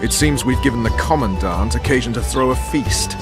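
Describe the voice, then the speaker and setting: A male actor playing a brusque, raspy military commander in a video game. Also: mid-range voice, a little fast, long reverb tail, soft background music, natural voice. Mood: dry, brusque.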